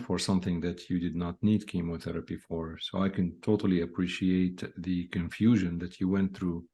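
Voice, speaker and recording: Male podcast host, low gravelly voice, conversational and slightly hesitant questioning. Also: steady tone, normal speed, dark tone. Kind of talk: interview questions.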